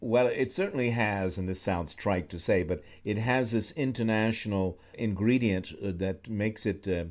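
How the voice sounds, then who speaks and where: A man's voice: lowish voice, normal speed, hardly any pauses, darkish tone. A man hosting a talk radio show, asking long, rambling, thoughtful questions with many restarts. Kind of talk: radio interview, conversational questions. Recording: slightly dull lo-fi radio sound.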